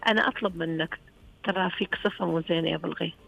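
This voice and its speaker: A woman's voice: on the low side, fairly even intonation, racing, gravelly, fairly bright tone, dull audio, faint music behind. A woman with a Saihat Saudi accent, calling in to a radio show and chatting earnestly and frankly. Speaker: radio call-in caller. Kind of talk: casual phone-in chat.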